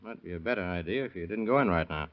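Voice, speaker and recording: Brisk detective, very quick delivery, low-toned, clipped, probing, vintage radio drama, lo-fi. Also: male voice, animated. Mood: cool, probing. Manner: clipped, matter-of-fact questioning. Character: brisk, cool police detective, matter-of-fact and probing. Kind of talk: 1930s radio crime drama acting. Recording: dull lo-fi vintage radio recording.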